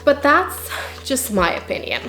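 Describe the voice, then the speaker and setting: A woman's voice: high-pitched, huge pitch swings, unhurried, bright, forceful tone. A woman giving an earnest, opinionated book review with emphatic phrasing, in the manner of a reviewer speaking to followers. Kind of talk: dramatic spoken book review. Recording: echoey room with music underneath. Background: background music.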